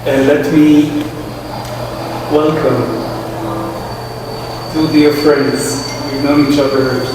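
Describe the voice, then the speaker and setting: A man's voice: a little high, level tone, recorded from a distance, background noise. Solemn man speaking in an echoey hall. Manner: measured and solemn, reads long lists of names. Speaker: ambassador giving a speech. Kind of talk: formal commemorative speech. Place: large reverberant museum hall.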